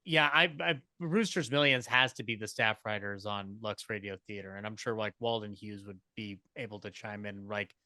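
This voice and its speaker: A man talking casually as a panelist, halting and thinking aloud as he goes. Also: fairly low pitch, pushed and bright, dry recording. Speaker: podcast panelist.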